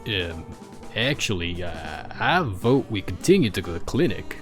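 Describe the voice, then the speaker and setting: Cowboy pegasus performed by a man, woozy, hesitant storybook character over soft music. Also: deep voice, big pitch swings, pitched below the performer's own voice. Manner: slow, halting, weak. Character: woozy male cowboy pegasus, drawling and faltering.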